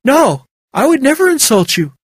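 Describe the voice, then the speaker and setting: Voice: high voice, sing-song, moderate pace, dry recording, clean studio sound, voice pushed up. A narrator doing an eager, respectful stranger woman. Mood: earnest. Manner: earnest, respectful.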